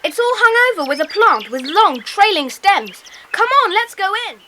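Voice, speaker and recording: A woman playing a bossy, brisk schoolboy club leader in a children's audio drama. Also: extremely high pitch, sing-song. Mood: eager, commanding. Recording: faintly noisy cassette tape.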